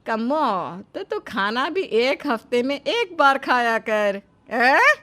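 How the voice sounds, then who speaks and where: A stern, fault-finding older mother-in-law played by a woman in a moral story drama. Her fairly high voice nags, taunts and questions like someone used to giving orders. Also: very animated, slow pace, bright, forceful tone, full character voice. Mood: bossy. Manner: taunting, bossy, interrogating.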